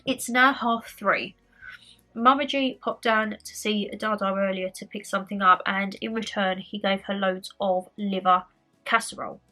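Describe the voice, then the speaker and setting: A woman's voice: mid-range voice, fairly even intonation, moderate pace, sharp tone, soft background music, poor audio. A young woman vlogging from home, chatting casually and rambling a little, her voice slightly nasal and stuffy from a cold. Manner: chatty, rambling, repeats phrases. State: bunged up with a cold. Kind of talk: casual chat to camera.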